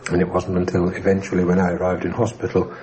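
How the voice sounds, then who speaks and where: A man recounting his own wartime experience for a radio documentary in a measured, matter-of-fact way, on a dull, hissy 1960s recording. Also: low voice, monotone, a little mellow. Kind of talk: first-person recollection for a radio documentary.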